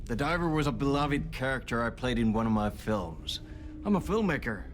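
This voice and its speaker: An actor playing a self-important male filmmaker and poet in a video game, speaking floridly with theatrical pauses and repetition. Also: medium pitch, big pitch swings, a little hiss or hum, close to an everyday voice. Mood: grandiose, teasing. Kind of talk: dramatic game acting. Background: faint music.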